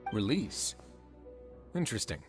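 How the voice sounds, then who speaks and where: A man voicing a calm, authoritative duke who runs a prison in a video game, speaking in a measured, deliberate way. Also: fairly low pitch, faint music behind. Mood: composed, musing.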